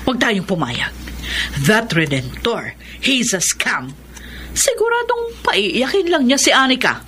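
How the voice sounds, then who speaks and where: A Filipino actress playing a haughty, scheming, disapproving aunt in a radio soap opera, with theatrical emphasis. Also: female voice, on the high side, big pitch swings, a little slow, background noise. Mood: scheming, scornful.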